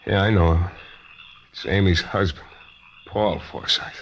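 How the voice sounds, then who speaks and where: A man's voice: sits low, fairly even intonation, unhurried, dull audio. An actor playing a tough, wry private detective who narrates his own case in a clipped 1940s radio style. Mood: weary, wry. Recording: noisy vintage radio recording.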